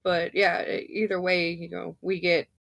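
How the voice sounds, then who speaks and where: A woman guest with a low voice, talking in a matter-of-fact way and often saying "you know". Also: level tone, moderate pace, vocal fry, fairly bright tone, dry recording. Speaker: streamer guest. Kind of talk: casual panel chat.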